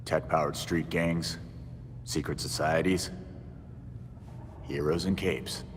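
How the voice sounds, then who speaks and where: A man playing a brooding, sardonic young vigilante in a video game, gruff and terse with a dry, casual delivery. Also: extremely low pitch, steady tone, slow. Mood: wry. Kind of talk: naturalistic video game acting. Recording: reverberant room with faint noise.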